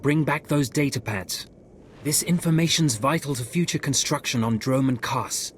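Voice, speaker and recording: An anxious official of a sci-fi empire, played by a man in a game. His voice sits at a middle pitch, earnest and imploring, with ambient noise behind it. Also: normal speed, close to an everyday voice. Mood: earnest, pleading. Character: earnest, anxious male Imperial official seeking help. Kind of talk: video game voice acting.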